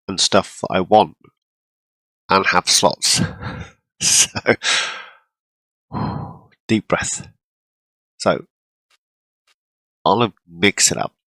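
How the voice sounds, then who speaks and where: Casual gaming host with a cold: deep, gravelly, slow and unhurried, on a dry recording. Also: male voice, fairly bright tone, no echo, low-quality recording. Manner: rambling, self-deprecating, frequent throat clearing. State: congested from a cold, coughing. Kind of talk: casual gameplay commentary.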